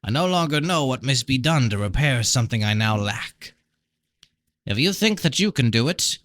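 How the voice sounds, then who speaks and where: A man's voice: mid-range voice, a bit bright, no room sound, close to an everyday voice. A man voicing a mad old sorcerer, crafty and blunt, speaking in a dramatic, insistent way as part of a fantasy book reading.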